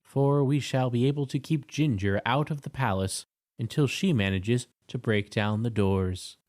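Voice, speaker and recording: A man voicing a kindly, steady tin woodman in a storybook reading. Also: medium pitch, measured pace, no echo, clean studio sound, natural voice.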